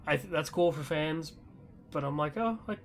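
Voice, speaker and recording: A man's voice: a little high, moderate pace. A man hosting a podcast, chatting casually about gaming news in a rambling, loosely conversational way. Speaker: podcast host.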